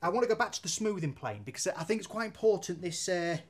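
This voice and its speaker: A man's voice: sits high, lively intonation, fast talker, slightly rough. A man, chatting casually as he demonstrates woodworking at a bench in his workshop, swearing now and then and using local slang. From England. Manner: talks while handling and planing wood at a bench. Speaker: woodworking teacher. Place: indoor workshop with brick walls.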